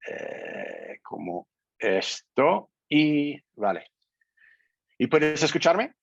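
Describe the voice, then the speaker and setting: A man speaking Spanish as a non-native speaker, giving a careful, deliberate seminar presentation over a video call with some hesitation. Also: medium pitch, huge pitch swings, creaky, slightly rough, no room sound. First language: not Spanish. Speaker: invited speaker. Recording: dull lo-fi online call audio.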